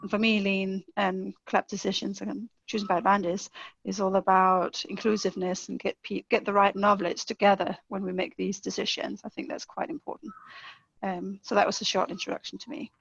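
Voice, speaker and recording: A woman hosts an online webinar in a friendly, slightly halting way, with some filler words. Her delivery stays fairly even, with a gravelly edge. The video-call audio is muffled and dry. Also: mid-range voice, no echo. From Denmark. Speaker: webinar host, lean manager.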